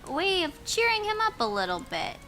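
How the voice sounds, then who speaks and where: A woman's voice: very high voice, wildly swooping pitch, a little fast, pushed and bright, faint music behind. A woman co-hosting a casual let's play, reading a story game aloud expressively and adding amused asides.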